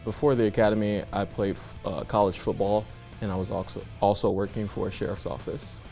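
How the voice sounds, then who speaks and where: Deep gravelly man from Chicago. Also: level tone, a little fast, dark tone, faint music behind. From Chicago, Illinois. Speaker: police academy recruit. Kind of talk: interview answers.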